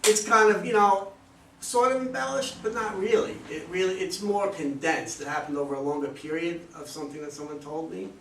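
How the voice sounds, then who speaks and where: Man, bright voice, hesitant, self-deprecating, informal Q&A, roomy distant mic. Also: high voice, steady tone, fairly quick, slight background noise. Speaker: playwright. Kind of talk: informal question-and-answer after a play reading. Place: room at a live play reading.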